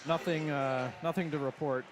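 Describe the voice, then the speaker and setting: A man in his fifties giving relaxed hockey color commentary, hesitant and full of fillers. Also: on the high side, normal speed. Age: 50s. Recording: loud music in the background. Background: loud arena music.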